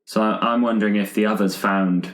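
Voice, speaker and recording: A man's voice: lowish voice, steady tone, brisk. A man chatting casually as a player in a tabletop game, in an echoing room. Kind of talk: casual improvised game chat. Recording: roomy, echoing sound.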